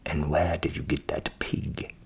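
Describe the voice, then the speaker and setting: A man's voice: very deep voice, flat delivery, a little slow, husky, in a whisper, pitched below the performer's own voice, exaggerated voice. A man voicing a blithe, wily storybook scissor grinder who talks persuasively. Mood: curious.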